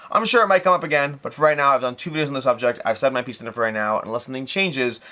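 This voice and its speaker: A man's voice: mid-range voice, rapid-fire, pushed and bright. A man hosting a casual, unscripted hobby news recap, talkative and rambling, often rephrasing and qualifying what he says. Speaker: host of a board game review channel.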